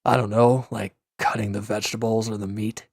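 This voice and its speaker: A man acting a gruff, guarded former villain in a roleplay audio drama, curt and grumbling, reluctant to lean on anyone. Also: on the low side, little pitch movement, fairly quick, dry recording, studio quality. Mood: defensive, sheepish. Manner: curt, grumbling, defensive, with sighs and hesitant breaks. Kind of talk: scripted roleplay audio drama addressed to the listener.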